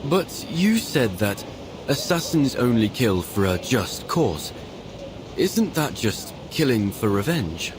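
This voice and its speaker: An actor playing an earnest, sympathetic companion in a game, with a gentle, questioning manner. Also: male voice, medium pitch, very animated, takes it slowly, noisy background. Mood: doubting. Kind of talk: dramatic visual novel dialogue. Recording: game audio with music behind.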